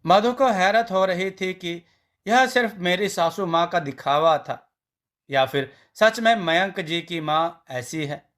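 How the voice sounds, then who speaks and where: A man narrating a scripted family story in a measured storybook manner. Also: sits high, a bit bright.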